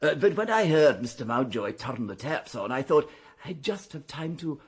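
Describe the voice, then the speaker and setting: An actor playing a meticulous English manservant in a 1920s radio mystery, respectful, precise and a little hesitant. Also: male voice, mid-range voice, big pitch swings, moderate pace, breathy edge. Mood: earnest explaining. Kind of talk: radio mystery drama acting.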